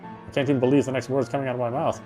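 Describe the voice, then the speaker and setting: Man narrating dramatically over soft music. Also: medium pitch, level tone, racing. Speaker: game player reading story text. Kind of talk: reading game narration aloud, expressive first-person. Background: game music.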